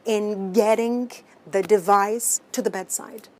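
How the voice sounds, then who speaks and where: A woman speaks as a medical expert being interviewed for the news. Her voice is of average pitch. She explains in full, plain statements. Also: steady tone, slow.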